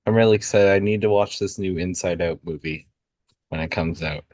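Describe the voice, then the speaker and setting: A man's voice: low-pitched, a little fast, a little mellow, dry recording. A man chatting casually about films and scripts as a participant in a table read.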